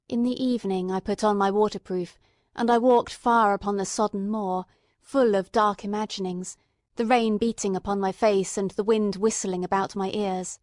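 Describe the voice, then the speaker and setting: Audiobook narrator: woman, medium register, dry close sound, measured, expressive literary reading. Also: mid-range voice, no echo.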